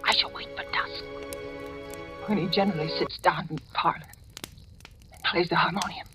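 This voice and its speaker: An actress playing a terrified, trapped woman in an old-time radio horror drama, speaking in a breathy, faltering, melodramatic way. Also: lowish voice, fairly even intonation, moderate pace, lightly acted. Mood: secretive dread. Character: terrified, trapped woman guest fearing for her life. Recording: vintage radio drama, music underneath.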